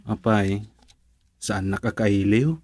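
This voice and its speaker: A man's voice: lowish voice, flat delivery, slow, a little mellow. A man with an Ilocano accent playing an earnest, persistent young boyfriend in a romantic radio drama. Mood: affectionate, teasing.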